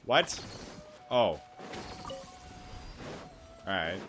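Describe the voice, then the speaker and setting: Bright, low-pitched young male gamer. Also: sing-song, drawn-out words, faint music behind. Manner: rambling, slangy, swearing, thinking aloud. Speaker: gaming YouTuber. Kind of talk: casual gameplay commentary.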